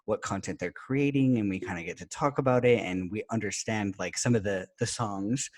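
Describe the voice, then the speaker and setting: A middle-aged man hosts a casual interview in a slightly low voice. He talks with enthusiasm and rambles a little, dropping "like" and "you know" all through his sentences. His tone stays friendly and relaxed. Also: normal speed. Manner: enthusiastic, chatty, many fillers like "like" and "you know". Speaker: podcast host.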